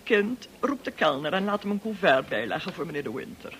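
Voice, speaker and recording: Radio drama: domineering middle-aged snob, pompous and gushing, very lively intonation. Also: female voice, lowish voice, brisk, slight background noise. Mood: bossy. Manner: pompous, affected, bossy.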